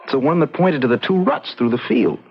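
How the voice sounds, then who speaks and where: A man's voice: medium pitch, expressive, fairly quick, vocal fry, husky. An actor playing an alarmed, suspicious everyman in a radio mystery drama, speaking urgently and emphatically. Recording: dull lo-fi radio recording.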